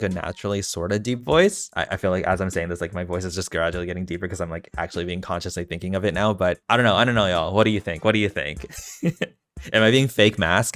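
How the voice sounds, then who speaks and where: A young man with a deep voice and natural vocal fry, rambling casually and campily as he plays a game. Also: a bit bright, dry recording. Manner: chatty, campy, self-mocking asides. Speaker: gaming video host. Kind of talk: casual rambling commentary while playing.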